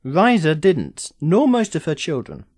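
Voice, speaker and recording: A man's voice: fairly high pitch, huge pitch swings, darkish tone, no echo, full character voice. Immortal sorcerer played by a man, audio drama: suave, teasing, formal, swooping mid-range voice, very dry sound. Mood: evasive, defensive. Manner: measured, theatrical, formal phrasing.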